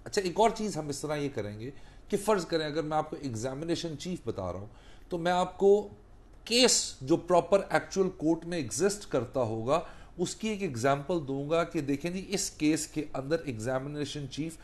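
A man in his thirties with a Pakistani accent, explaining emphatically like a lawyer giving a lecture, deliberate when he switches into English. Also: a little high, animated. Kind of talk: explanatory talk mixing Urdu and English. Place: small book-lined law office.

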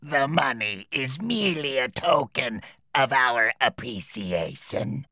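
An actor playing an oily, scheming merchant in a video game, greedy and obsequious in manner. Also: male voice, mid-range voice, very animated, slow, pushed and bright, dry recording, full character voice. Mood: ingratiating. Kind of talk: video game cutscene acting.

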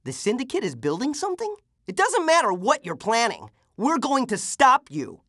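A man's voice: very high voice, huge pitch swings, moderate pace, a bit bright, no room sound, studio quality, heavily acted. A man voicing a plucky, wisecracking teenage half-ghost boy superhero with a youthful voice for a video game. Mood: defiant. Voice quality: youthful, boyish. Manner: exclamatory, wisecracking. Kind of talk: video game character voice clips.

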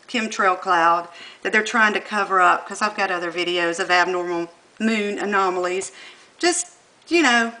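A woman's voice: mid-range voice, pushed and bright, rough recording. A woman with a Southern US accent filming her computer screen and talking insistently to her viewers. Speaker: vlogger. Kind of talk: casual monologue. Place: indoors at a computer.

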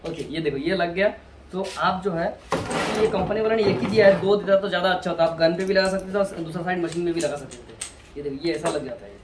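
A man's voice: high-pitched, brisk. A man casually presenting a product unboxing, informal and repetitive as he works, recorded outdoors on a porch from a camera a few metres away. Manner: informal, hands-on, repeating himself while handling items. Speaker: product reviewer. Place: outdoor porch by house steps. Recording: roomy, distant mic, clearly noisy, clicks.

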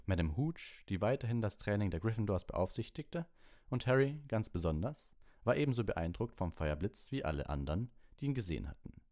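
A man's voice: lowish voice, sing-song, fairly quick, a little mellow. A man reading a story expressively as an audiobook narrator.

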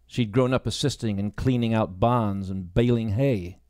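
A man's voice: lowish voice, normal speed, mellow, no room sound, studio quality. A man reading a story aloud as scripted audiobook narration. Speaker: audiobook reader, actor.

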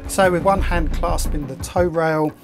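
A middle-aged man co-hosting a sailing channel, narrating and explaining the story in a measured way. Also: a little high, fairly even intonation, music in the background. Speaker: channel co-host. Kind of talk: narration and commentary.

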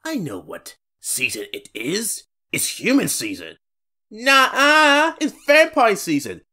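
A voice actor plays out cartoon skits in a theatrical, exaggerated way. The voice is bright and swoops widely, at an unhurried pace. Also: mid-range voice, huge pitch swings. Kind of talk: voice acting audition reel of cartoon skits.

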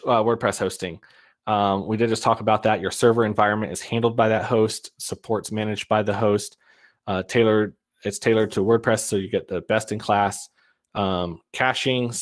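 A man in his thirties giving a casual webinar talk from a home office over a video call, loose and full of ums. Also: fairly low pitch. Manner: casual, many ums and uhs, restarts. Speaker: web agency owner presenting. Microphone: webcam video call.

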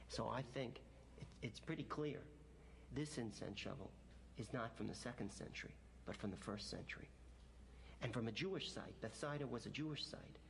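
A man's voice: mid-range voice, gravelly, a little hiss or hum. A man, an archaeologist, explaining his finds on location with enthusiasm, thinking aloud and restarting his sentences.

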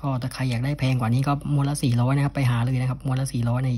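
A man's voice: medium pitch, very fast. A man speaking casually and unscripted as he presents an item from his collection, often ending phrases with polite particles. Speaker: cassette collector presenting an unboxing. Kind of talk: casual unscripted product showcase. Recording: steady background noise.

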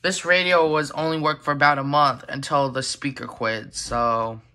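A person talking informally and haltingly in a casual show-and-tell vlog, filmed on a handheld phone indoors at home. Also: medium pitch, fairly even intonation, bright, forceful tone. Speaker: collector presenting weather radios. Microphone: handheld phone camera. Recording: noisy handheld recording with clicks.

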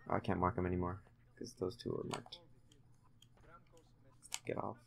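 A young man giving casual, halting, low-key commentary while concentrating on playing a video game. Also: monotone, gravelly, fairly bright tone. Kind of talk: casual let's-play commentary.

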